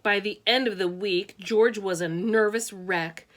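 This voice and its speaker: A woman reading a children's storybook aloud with expressive, deliberate phrasing and casual asides. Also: medium pitch.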